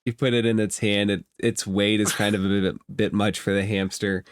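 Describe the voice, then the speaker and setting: A man acting as game master, narrating a tabletop game casually with measured, hesitant explanations. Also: lowish voice, little pitch movement, smooth, no echo, clean studio sound.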